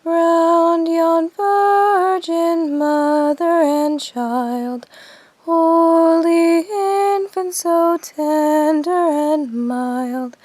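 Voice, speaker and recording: A woman slowly singing a Christmas carol as a lullaby in an audio drama. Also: very high voice, darkish tone, dry recording, exaggerated voice.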